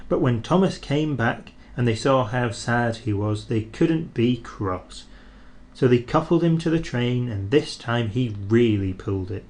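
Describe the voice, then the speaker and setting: A man reading a children's storybook aloud, expressive and measured. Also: mid-range voice.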